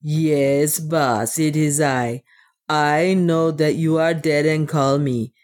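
A woman narrator plays a fearful, superstitious dwarf servant from an adventure storybook. The voice is low, and he talks in old-fashioned, self-pitying and deferential phrases. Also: fairly even intonation, takes it slowly, a little mellow. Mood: frightened, deferential.

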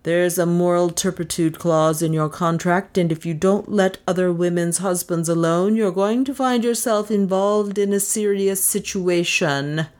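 A woman's voice: lowish voice, steady tone, normal speed, hardly any pauses, darkish tone, natural voice. A pompous male university president as a woman narrator plays him, plummy and self-important. He scolds in a storybook reading with stiff condescension. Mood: scolding. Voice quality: plush, plummy.

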